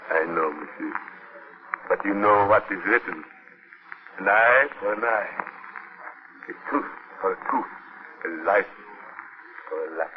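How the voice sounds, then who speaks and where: An actor playing a solemn, ominous voodoo man with slow, formal, deferential speech in 1940s radio horror style. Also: sits low. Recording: noisy, tinny old radio recording.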